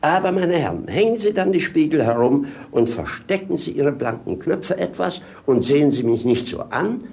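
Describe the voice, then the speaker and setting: A man's voice: on the high side, lively intonation, normal speed, vocal fry, darkish tone. An actor playing a masked fool in a 1950s radio play, rambling like a theatrical showman. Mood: mock anxious.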